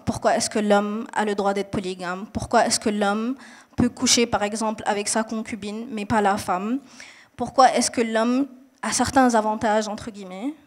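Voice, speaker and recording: A young woman from Mali and Niger, raised in Saudi Arabia, answering a panel question in an earnest, explanatory way with frequent hesitations and restarts. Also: mid-range voice.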